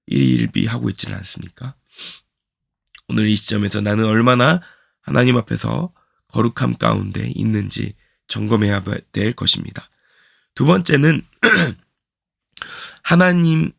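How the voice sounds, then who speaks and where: A man, a pastor, giving a slow, earnest devotional sermon, his voice hoarse from a cold. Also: fairly low pitch, a bit bright, no room sound, clean studio sound. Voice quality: gravelly. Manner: slow, earnest preaching with repeated reflective questions.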